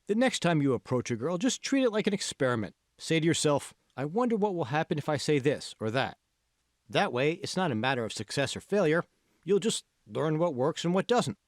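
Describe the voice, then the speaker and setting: A man's voice: a little high, sing-song, fairly quick, no room sound, clean studio sound. A man voicing a confident, encouraging college friend in an audiobook, prodding and persuasive. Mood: insistent encouragement. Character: confident, encouraging young male college friend, insistent coach. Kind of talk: audiobook character dialogue.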